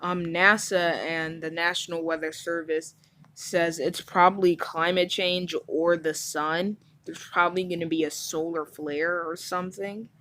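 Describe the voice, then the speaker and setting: Female actor as worn-out TV news anchor for an audio drama; lower register, blunt, informal, flustered by heat. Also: flat delivery, unhurried, a bit bright. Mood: weary, complaining. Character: overheated, frank, swearing female TV news anchor, flustered and weary. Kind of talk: amateur audio drama newscast, conversational.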